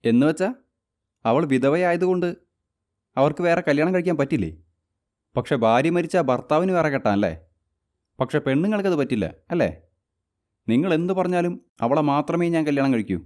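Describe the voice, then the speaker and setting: A man's voice: on the high side, lively intonation, normal speed, long pauses, darkish tone, natural voice. A man voicing an earnest, insistent office worker in a storybook tale. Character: office man, persistent suitor, later a stepfather.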